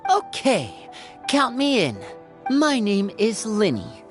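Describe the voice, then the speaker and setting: A woman voicing a composed young wanderer with a sly streak in an anime game, measured and playful. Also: mid-range voice, wildly swooping pitch, faint music behind. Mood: confident.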